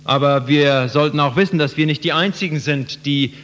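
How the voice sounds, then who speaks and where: A man's voice: on the high side, normal speed, fairly bright tone, slight background noise. A man interpreting a sermon phrase by phrase for the listeners. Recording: muffled, reverberant hall.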